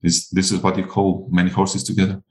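Interview guest: greek-accented man, low deep voice, hesitant but eager, echoey room. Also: monotone, a little fast, darkish tone. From Crete, Greece. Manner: hesitant, enthusiastic, many fillers and restarts. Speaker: guest artist. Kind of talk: casual interview chat.

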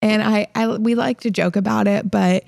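Young woman, light southern accent, podcast host, gravelly, mellow tone. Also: mid-range voice, fairly even intonation, moderate pace, no echo, studio quality. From Illinois. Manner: upbeat, laughs often. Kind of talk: casual podcast chat.

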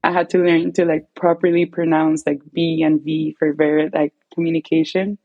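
A woman's voice: low-pitched, little pitch movement, moderate pace, breathy edge, no room sound, muffled recording. A young Venezuelan woman, a native Spanish speaker, chatting casually as an interview guest, rambling with lots of "like" and restarts. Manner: rambling, many filler likes and restarts. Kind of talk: casual conversation.

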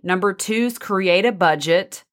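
A woman with a Texas accent co-hosting a casual advice chat, agreeable and quick to laugh. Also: on the low side. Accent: Texan. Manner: agreeable, laughs easily, many short backchannels. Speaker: co-host.

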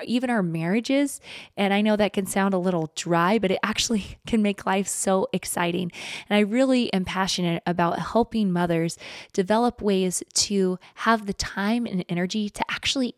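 A young adult woman hosting an interview, chatty and enthusiastic, her voice a little croaky. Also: medium pitch, no echo, clean studio sound. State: slightly croaky voice. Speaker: host. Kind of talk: casual interview and promo. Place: home studio. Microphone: large studio microphone.

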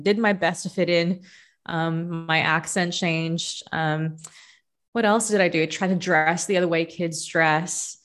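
A young woman from the Philippines, a podcast guest, telling her own story in a relaxed, reflective way with many fillers. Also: on the low side. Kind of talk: casual interview storytelling.

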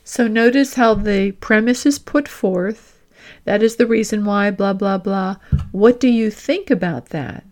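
A woman's voice: medium pitch, measured pace, a little mellow. A woman working as a career coach and host, explaining directly to the listener in an emphatic, instructional way, with role-played examples.

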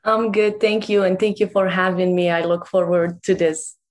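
A woman's voice: lowish voice, fairly even intonation, moderate pace, darkish tone. A young woman in her twenties from Morocco chats as an interview guest. She talks loosely and casually, dropping plenty of "like" fillers. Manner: chatty, frequent "like" fillers, laughs easily. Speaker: guest author.

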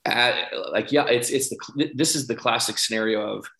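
A man hosting a podcast interview in a conversational coaching style, putting his questions slowly and haltingly with repeated words. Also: medium pitch, bright, forceful tone. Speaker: podcast host. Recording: roomy, echoing sound.

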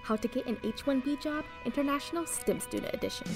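A woman's voice: high voice, fast. A woman reading a scripted podcast intro. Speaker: show announcer. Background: guitar music.

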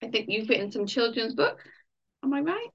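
A woman's voice: a little high, sing-song, fairly quick, gravelly, slightly rough. Upbeat lady hosting a podcast, chatty, kinda muffled audio. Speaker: podcast host, life coach. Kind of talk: casual podcast interview.

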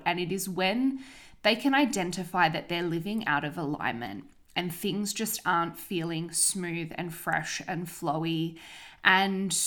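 A young woman with a fairly low voice talks through her wellness podcast alone. She sounds a little sniffly from an oncoming cold. Her chat is upbeat and loose, with casual swearing. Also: a bit bright. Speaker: podcast host, holistic coach. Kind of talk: casual solo podcast monologue.

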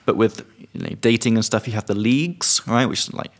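A man's voice: fairly low pitch, fairly quick. A young British man co-hosting a podcast, chatting casually and explaining English phrases with examples. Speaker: podcast co-host. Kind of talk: casual chat.

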